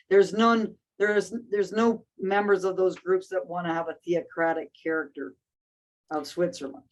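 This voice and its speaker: A woman's voice: lowish voice, a little mellow, low-quality recording. A woman hosting a casual discussion, speaking thoughtfully with frequent restarts and fillers. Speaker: host.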